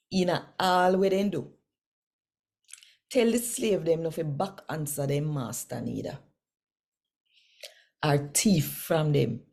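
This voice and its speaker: Low, husky Jamaican woman, unhurried. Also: warm tone. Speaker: host and scripture reader. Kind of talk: devotional scripture reading in Patois.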